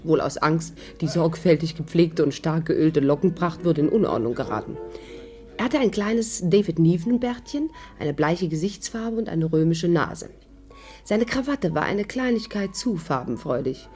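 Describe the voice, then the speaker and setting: A woman's voice: sits low, lively intonation, moderate pace, darkish tone, soft background music. An actress playing a shrewd young woman who narrates her adventure in a composed, wry way in a 1950s radio drama. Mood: wry, watchful. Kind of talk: scripted narration, 1950s German radio play.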